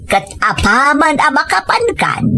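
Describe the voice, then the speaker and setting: A woman's voice: high-pitched, big pitch swings, unhurried, sharp tone, full character voice. A woman with an Ilocano Filipino accent playing a supportive, practical older mother in an audio drama. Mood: reassuring, practical. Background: background music.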